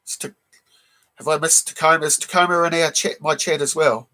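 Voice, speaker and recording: A man with a slightly high, croaky voice worn rough by a cold hosts a relaxed live stream. He chats loosely with his viewers, greets them by name and stops often to clear his throat. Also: a little fast. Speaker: live stream host showing comic books. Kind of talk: casual live stream chat.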